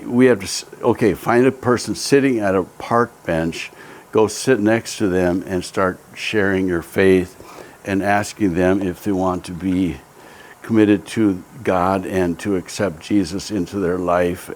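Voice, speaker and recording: Slow, deep-voiced older man reminiscing. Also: fairly even intonation. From Japan. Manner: hesitant, many ums and restarts. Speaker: interviewee telling his life story. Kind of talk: reflective personal storytelling.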